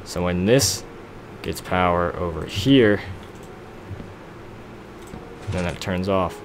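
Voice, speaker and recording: Young man, deep voice, rambling self-deprecating gameplay commentary, noisy home mic. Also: measured pace. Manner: rambling, self-deprecating, talks to himself while building. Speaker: let's play gamer.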